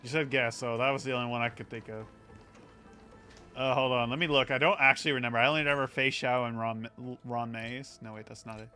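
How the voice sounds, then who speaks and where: Male streamer, bright forceful voice, casual sweary chat, mid pitch, faint music behind. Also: steady tone, brisk, smooth. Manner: informal, sweary. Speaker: game streamer. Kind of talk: casual chat over gameplay.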